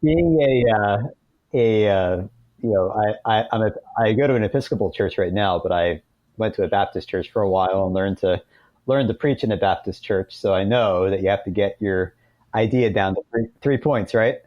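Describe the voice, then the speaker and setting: A man's voice: lowish voice, normal speed, no echo, lo-fi sound. A man speaking as an author guest in a conversational interview, informal and self-deprecating.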